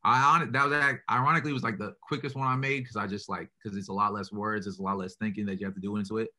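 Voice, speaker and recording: A young man with a deep, bright-edged voice answers interview questions about his music. He talks quickly and casually, with little rise and fall, and fills every sentence with "like" and "you know". He sounds relaxed and laid back. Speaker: rapper being interviewed.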